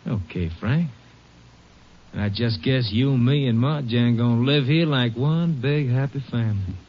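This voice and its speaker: An American actor playing a bitter, lazy farm brother with a taunting rural drawl in a western radio drama. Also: male voice, mid-range voice, measured pace, lightly acted. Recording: muffled old radio broadcast.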